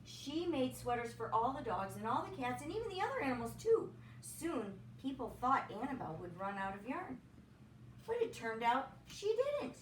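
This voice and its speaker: A woman's voice: a little high, very animated, moderate pace, echoey room, distant mic, slight background noise, low-quality recording. A woman reading a children's picture book aloud expressively, with chatty asides. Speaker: storybook reader, teacher. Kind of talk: read-aloud children's story narration.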